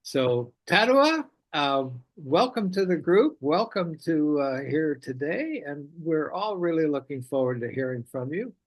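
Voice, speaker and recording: Slow, halting man hosting a video call. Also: a little high, sing-song, low-quality recording. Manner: halting, with many uhs and repeated words. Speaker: meeting host introducing a speaker.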